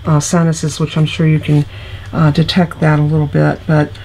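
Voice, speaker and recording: A woman's voice: low-pitched, completely flat delivery, normal speed, dark tone, a little hiss or hum, rough recording. Congested woman teaching card-making. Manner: chatty, homey, talks through each step. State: congested, recovering from illness. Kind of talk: casual craft tutorial.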